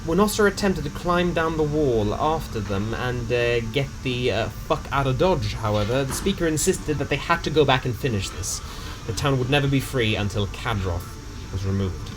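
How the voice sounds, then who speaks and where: A man's voice: medium pitch, lively intonation, normal speed, noisy background. A man narrating a tabletop game story recap in a casual, dramatic storytelling way with some slang. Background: music.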